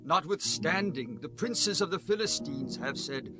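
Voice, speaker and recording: A man's voice: fairly high pitch, lively intonation, a little slow, music in the background. A man acting a courteous, regretful king in a dramatized scripture audiobook, formal and earnest. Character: regretful, courteous king speaking kindly to his follower.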